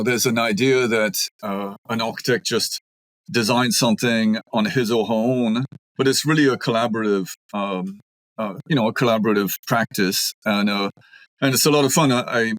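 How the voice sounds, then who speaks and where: Belgian-accented man, thoughtful and halting. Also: mid-range voice, a bit bright, no room sound, low-quality recording. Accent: Belgian French. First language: French. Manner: thoughtful, many ums and restarts. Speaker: architect guest. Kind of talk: conversational interview.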